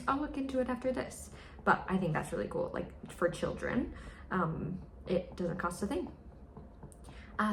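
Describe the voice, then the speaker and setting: A young American woman chatting casually in a vlog, full of fillers and playful quoted voices. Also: vocal fry. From United States. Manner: chatty, with many fillers, mimics others in high voices. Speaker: vlogger. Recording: echoey room, faint noise.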